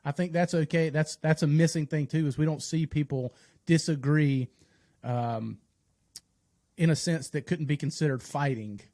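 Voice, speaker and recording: A man from the United States in a casual podcast chat, thoughtful and halting, often repeating his phrases. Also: fairly high pitch, fairly even intonation, mellow, no room sound, studio quality. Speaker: podcast host appearing as guest.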